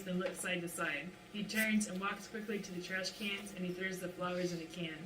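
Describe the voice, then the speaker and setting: A woman narrates a script at a table read in a bright voice. She is recorded some way from the mic, in an echoey room with a faint wash of noise. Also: mid-range voice. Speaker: narrator reading stage directions. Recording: roomy, distant mic, clearly noisy.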